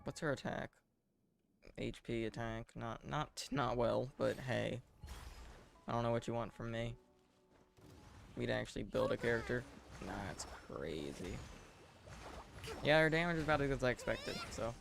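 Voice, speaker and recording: A man giving casual live game commentary in a deadpan, self-deprecating way, muttering asides to himself. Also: medium pitch, moderate pace, gravelly, pauses often, soft background music. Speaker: game streamer.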